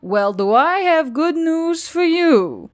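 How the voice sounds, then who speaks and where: A woman's voice: very high voice, big pitch swings, slow pace, faint music behind, voice pushed up, heavily acted. A woman voicing an upbeat, cheeky female centaur scavenger in an audiobook, breezy and teasing.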